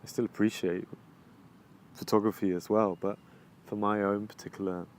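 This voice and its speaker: A man with an English accent, answering interview questions in a halting, reflective way, often restarting sentences while he searches for words. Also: fairly low pitch, level tone, slight background noise. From England. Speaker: videographer interviewee. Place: outdoors overlooking the ocean.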